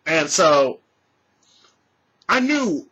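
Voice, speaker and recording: Vlogger in his thirties, animated storyteller with a slightly husky voice, talking straight into a webcam at home. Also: a little high, very slow, dull audio. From California. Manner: animated, gestures a lot, swears freely. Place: small room at home, indoors. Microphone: webcam at desk distance.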